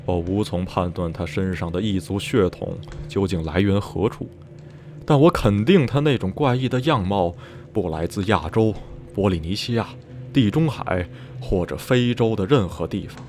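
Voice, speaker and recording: A man playing an uneasy survivor of a sinister town, recounting dreadful memories in measured, literary Mandarin for a radio drama. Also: low voice, a little mellow, a little hiss or hum, natural voice. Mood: grave, disgusted. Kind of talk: radio drama acting, narrating in interview. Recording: music behind the voice.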